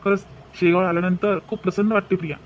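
A high-pitched, devout young cartoon husband acted by a man. He talks earnestly and reverently in a Vidarbha Marathi accent. The recording is dull with faint noise. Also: flat delivery, quick pace, close to an everyday voice.

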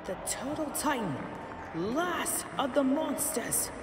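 A theatrical fight announcer calls out a deathmatch in a very high, wildly swooping voice. He is a bombastic showman, drawing out his words for drama in an echoing arena. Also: expressive, takes it slowly, exaggerated voice. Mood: grand, gleeful hype. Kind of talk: ring announcer, melodramatic. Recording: echoey distant arena with crowd noise.

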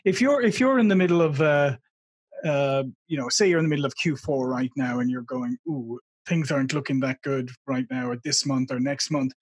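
A man's voice: medium pitch, a little fast, breathy edge, dry recording. A middle-aged man with an Irish accent, hosting a casual video interview and laughing easily, on a video call from a room with a logo backdrop. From Ireland. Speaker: interview host.

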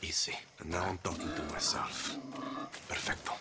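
A man's voice: sits low, little pitch movement, moderate pace, noisy background. A man talking to himself in short remarks, as a video game character.

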